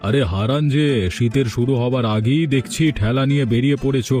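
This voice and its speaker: A man voicing a genial, nostalgic ageing village gentleman in a cartoon story. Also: medium pitch, steady tone, brisk, faint music behind, close to an everyday voice. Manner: reminiscing, genial.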